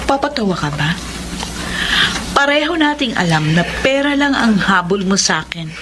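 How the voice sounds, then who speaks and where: Sarcastic, animated proud woman. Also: mid-range voice, unhurried. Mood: defiant, bitter. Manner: sarcastic, defiant. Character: proud, bitter pregnant woman, sharp-tongued and defensive. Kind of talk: radio drama acting. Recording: noisy ambient background behind voice.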